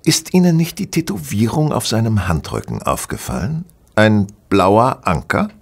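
A man playing a brilliant, self-assured gentleman detective in a German audio drama, explaining things deliberately with casual superiority. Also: lowish voice, huge pitch swings, vocal fry, breathy edge, heavily acted. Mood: lecturing, self-assured.

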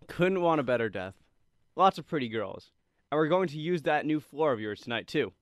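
An actor playing a breezy, excitable teenage son in a radio drama. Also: male voice, on the high side, moderate pace, dry recording, studio quality, natural voice. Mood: cheeky. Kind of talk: old-time radio drama acting.

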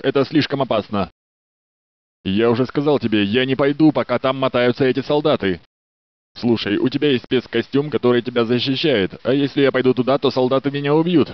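A man's voice: mid-range voice, no echo, close to an everyday voice. Guy playing a courteous security guard, dry muffled game dub sound. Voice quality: steady, courteous, a little flirtatious. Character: polite, dutiful male security guard. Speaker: security guard in a research facility. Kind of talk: Russian game dub voice lines. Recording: dry, dull studio dub.

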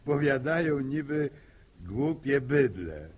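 A man's voice: medium pitch, expressive, slightly rough. An actor playing a genial middle-aged farmer father in a 1960s radio drama. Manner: slow, folksy.

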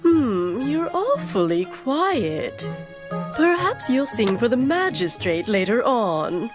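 Magistrate's wife, animated series: haughty, fretful, dramatic sighs, high swooping voice over music. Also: female voice, slow. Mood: coaxing. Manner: theatrical, sighing, dramatic. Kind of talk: animated cartoon acting. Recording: music under the dialogue.

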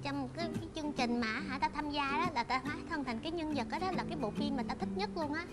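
A woman in her twenties with a southern Vietnamese accent, telling stories in casual, excitable and expressive chat. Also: high voice, fast talker, loud music behind the voice. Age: 20s. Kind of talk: casual animated chat, reminiscing. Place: indoor talk show set styled as an old shop.

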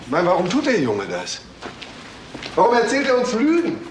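Probing police detective, swooping intonation, restless, radio crime play, noisy background. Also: male voice, high voice, wildly swooping pitch, normal speed. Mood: agitated. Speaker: investigator. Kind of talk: German crime radio drama acting.

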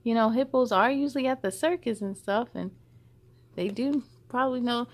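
A young woman hosting a children's story time, reading a picture book expressively with drawn-out emphasis and chatty asides. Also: fairly high pitch. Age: young adult. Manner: animated, drawn-out emphasis on rhymes.

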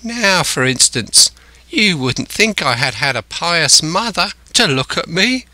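A man's voice: a little high, big pitch swings, measured pace, sharp tone, no echo, pitched above the performer's own voice, full character voice, poor audio. A man voicing a marooned, half-crazed old seafarer in a storybook, sly and childish, with rambling, wheedling rustic sailor speech. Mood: startled, sly, pleased.

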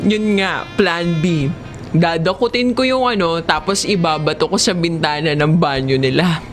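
A woman with a Filipino accent narrating a comedic first-person story in an expressive, giggly, conversational way. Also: on the low side, moderate pace, soft background music.

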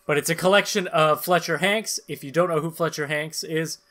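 A man hosts a casual podcast in a fairly high-pitched, forceful, bright voice. He rambles along in a joking, conversational way. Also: normal speed. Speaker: podcast host and narrator.

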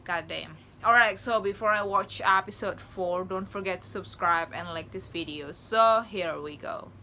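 A woman's voice: medium pitch, moderate pace, pushed and bright, a little hiss or hum. A young woman hosting a reaction video from her bedroom, chatting in casual non-native English. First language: not English. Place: small bedroom with posters.

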